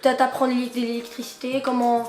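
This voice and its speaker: A woman's voice: a little high, completely flat delivery, normal speed, a little mellow, roomy sound. A teenage girl from Algeria chatting casually, hesitant and playful with many fillers. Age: teens. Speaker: younger sister. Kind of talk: casual chat.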